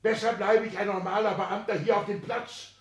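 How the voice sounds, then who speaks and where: A man's voice: high voice, steady tone, moderate pace, reverberant, close to an everyday voice. An actor playing an indignant, anxious old petty-bourgeois father, a minor official, theatrically agitated in the style of 1960s German radio drama. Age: older. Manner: agitated, theatrical, swinging between outbursts and hushed lament.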